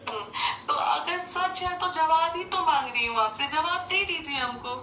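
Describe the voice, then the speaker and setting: A high, bright female voice comes through from far off. She argues insistently and with a sense of grievance, firing rhetorical questions in an echoey, faintly noisy call recording. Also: moderate pace. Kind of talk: phone call, pleading argument.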